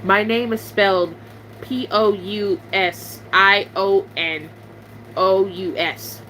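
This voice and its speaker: A bright, sharp-toned young woman, venting to her viewers. She is blunt, sarcastic and fed up. A faint hum and hiss sit under the recording. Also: lowish voice, low-quality recording. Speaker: YouTuber.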